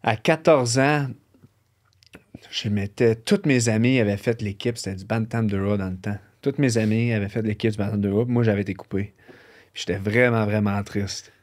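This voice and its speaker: Relaxed Québécois man, low voice. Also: moderate pace. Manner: relaxed, rambling, lots of "tu sais". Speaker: professional hockey player, guest. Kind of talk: casual interview storytelling.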